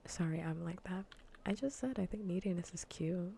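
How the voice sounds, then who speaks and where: Female ASMR streamer: hushed, breathy and gravelly, casual and bluntly teasing, close-miked over faint background noise. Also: fairly low pitch, normal speed. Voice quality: soft, breathy, often near-whispered. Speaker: livestreamer. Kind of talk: soft-spoken ASMR, casual livestream chat while gaming. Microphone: close ASMR microphone.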